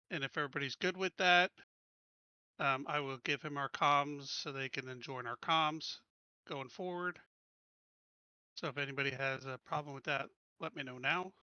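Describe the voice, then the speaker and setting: A man's voice: on the high side, bright, forceful tone, smooth, dry recording, muffled recording. A man playing the captain in a tabletop game, talking casually and hesitantly, with lots of ums. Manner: hesitant, lots of ums, laughs when confused. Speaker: player and ship captain.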